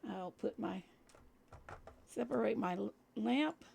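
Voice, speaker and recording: A woman hosting a crafting tutorial in a relaxed, chatty way, explaining each step as she works, her voice scratchy from a cold. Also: medium pitch, takes it slowly, vocal fry. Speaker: crafting channel host. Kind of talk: casual crafting tutorial talk.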